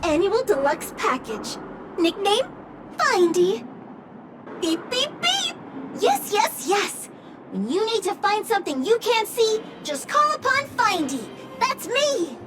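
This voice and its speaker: A woman's voice: very high voice, big pitch swings, slow pace, over music, exaggerated voice. A woman voicing a chirpy, cheeky little object-finder robot in a video game, beeping and announcing in clipped fragments. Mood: chirpy, eager. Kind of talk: video game character acting.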